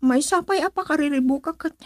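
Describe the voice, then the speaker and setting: A woman's voice: high voice, brisk, no echo, studio quality, natural voice. A young woman with a Filipino accent acting a hesitant, earnest daughter who is considering marriage in a radio drama.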